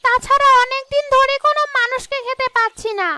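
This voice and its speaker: A woman's voice: extremely high pitch, fairly even intonation, brisk, sharp tone, no room sound, exaggerated voice. A woman voicing a gleeful, man-eating witch ghost in a horror cartoon, with a taunting, villainous manner. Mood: cackling, threatening. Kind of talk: cartoon horror story voice acting.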